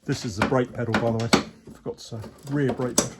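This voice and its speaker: A man, casually explaining a motorcycle repair as he works, in a relaxed, rambling way with plenty of fillers. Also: medium pitch, quick pace, very noisy. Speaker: motorcycle restorer, channel host. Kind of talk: casual workshop talk-through. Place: workshop or shed.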